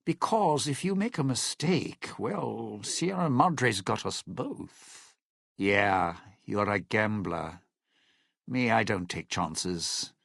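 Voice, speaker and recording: An actor playing a cynical, self-serving lounge singer in a video game, with a raspy, gravelly voice and a wry, theatrical, menacing delivery. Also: male voice, fairly low pitch, lively intonation, slow pace, fairly bright tone, no room sound, studio quality, heavily acted. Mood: urgent, wry, then cold, menacing. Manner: wry, theatrical, hesitant muttering turning to threats. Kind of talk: video game character dialogue.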